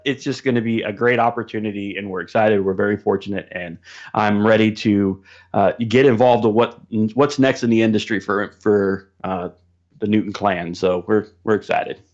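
A man as a podcast guest, telling stories casually in a colloquial, vivid and emphatic way over muffled livestream audio. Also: medium pitch. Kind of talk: casual storytelling chat.

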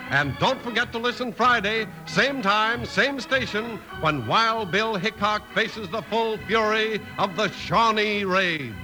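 A man's voice: sits high, low-quality recording. A man announcing in polished, emphatic 1950s radio style, reading credits and sponsor copy. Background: music.

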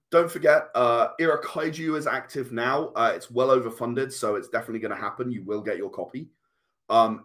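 A man's voice: mid-range voice, a bit bright. Man in his late thirties, earnest. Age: late 30s. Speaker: podcast guest, comic and game creator. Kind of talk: casual conversational interview.

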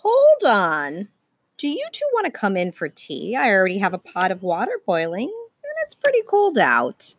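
A woman playing a hospitable but creepy old schoolteacher in an amateur audio drama, with a theatrical, drawn-out delivery. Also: mid-range voice, huge pitch swings, husky, no echo, full character voice. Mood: inviting.

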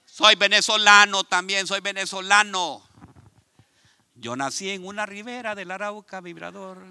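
A man from Ecuador with an Ecuadorian Spanish accent, preaching a sermon in an animated, conversational way and speaking straight to his congregation. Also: high voice, a little slow, creaky, clean tone. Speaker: pastor. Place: church.

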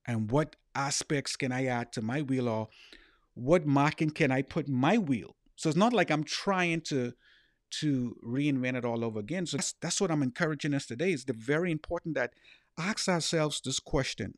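A man's voice: mid-range voice, moderate pace, dry recording, clean studio sound. A middle-aged man with a Bahamian accent giving an earnest, emphatic motivational talk as a podcast host and life coach, repeating key phrases.

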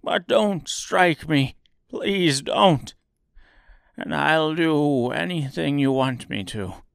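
A man's voice: a little high, animated, very slow, vocal fry, dry recording, clean studio sound, voice pushed up, heavily acted. Man putting on a trembling, meek little old man. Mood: frightened, pleading. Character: Oz, little old timid humbug wizard.

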